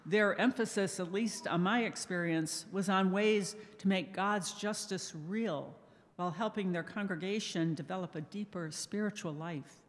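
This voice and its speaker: An older woman speaking softly and thoughtfully to a congregation, sharing memories in a measured way as a lay speaker. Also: medium pitch. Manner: soft-spoken, measured, reminiscing. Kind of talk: reflective personal talk to a congregation. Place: church sanctuary. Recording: echoey church hall reverb.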